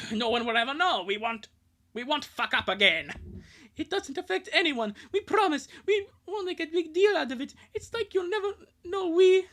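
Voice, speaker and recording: A high-pitched, distressed cartoon teenager acted out by an amateur dubber. The delivery is whiny and desperate, begging hard as in a fan-made comic dub. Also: expressive, moderate pace, fairly bright tone. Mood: desperate pleading.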